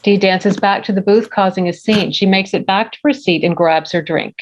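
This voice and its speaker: A woman's voice: medium pitch, moderate pace. A woman reading scripted stage directions as the narrator of a table read. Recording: dull, lo-fi audio.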